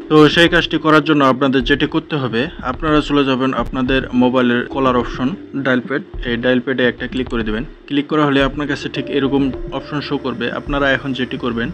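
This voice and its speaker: Male Bangladeshi tutorial narrator, brisk and instructional, medium pitch, muffled lo-fi voice-over over music. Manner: instructional, repetitive step-by-step phrasing. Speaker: tech tutorial host. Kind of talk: step-by-step screen tutorial narration. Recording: dull lo-fi voice-over with music behind. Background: clear background music.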